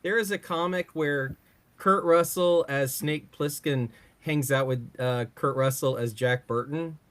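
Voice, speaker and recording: A man's voice: fairly high pitch, steady tone, unhurried, a bit bright. A man talking as a panelist in a loose, casual conversational style. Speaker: panelist and podcaster. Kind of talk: casual chat.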